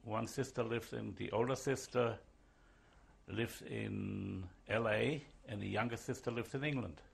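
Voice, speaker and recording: Gravelly older man in an interview. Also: fairly low pitch, steady tone. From Switzerland and Germany. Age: 70s. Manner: halting, with pauses and fillers. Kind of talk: oral history interview.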